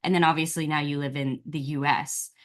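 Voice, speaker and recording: Woman, from Virginia, interview host, effusive, filler-heavy, lowish pitch. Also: steady tone, brisk. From Virginia, USA. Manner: effusive, gushing, many fillers like "like" and "you know". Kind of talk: casual interview chat.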